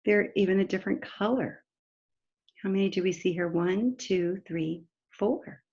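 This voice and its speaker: A woman reading a picture book aloud to young children like a teacher, pausing to explain simply and ask them questions directly. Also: mid-range voice, darkish tone, dull audio. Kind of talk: read-aloud story time lesson for young children, with explanatory asides.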